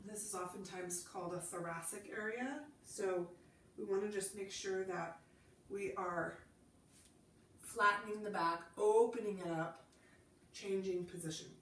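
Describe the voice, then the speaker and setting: A woman's voice: mid-range voice, a little slow, echoey room, distant mic. Congested woman cueing a Pilates class. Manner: calm, demonstrating while cueing movements. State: congested from a cold. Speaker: Pilates instructor. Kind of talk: guided exercise class instruction.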